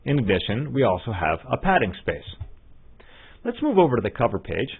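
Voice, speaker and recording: A man giving scripted step-by-step tutorial narration as a software trainer, in an instructional manner. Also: mid-range voice, big pitch swings, brisk, gravelly, breathy edge. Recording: muffled narrow phone-like line.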